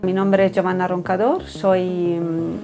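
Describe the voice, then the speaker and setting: Italian woman, hesitant, low voice. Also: level tone, measured pace, over music. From Italy. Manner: hesitant, searching for words. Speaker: head of a lab unit. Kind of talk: interview answers in Spanish.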